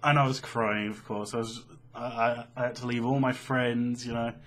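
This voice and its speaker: A young British man with a slightly husky voice, telling a personal story casually in an animated, sweary, self-mocking way. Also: mid-range voice, moderate pace. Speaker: online storyteller.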